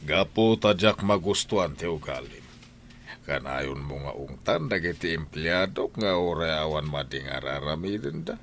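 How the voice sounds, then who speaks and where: A man's voice: rumbling low, animated, gravelly, fairly bright tone, slight background noise, heavily acted. A man playing a stern, domineering husband and head of the household in an audio drama, lecturing in an Ilocano Filipino accent. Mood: blunt, reproachful. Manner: slow, lecturing, commanding.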